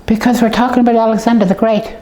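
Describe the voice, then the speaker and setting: A woman's voice: on the high side, steady tone, fast talker, dark tone, natural voice. A woman voicing a bossy, childlike know-it-all puppet who rambles through muddled stories in an improvised comic show. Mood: confident, rambling.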